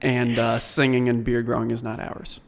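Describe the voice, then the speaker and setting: A man's voice: medium pitch, monotone, normal speed, gravelly. Dry, teasing young man. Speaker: podcast co-host. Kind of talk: casual chat.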